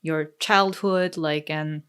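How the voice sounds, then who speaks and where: A woman hosting a casual interview, speaking in a hesitant, halting way as she searches for words. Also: sits low, steady tone, a bit bright, clear, resonant tone. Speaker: podcast interviewer.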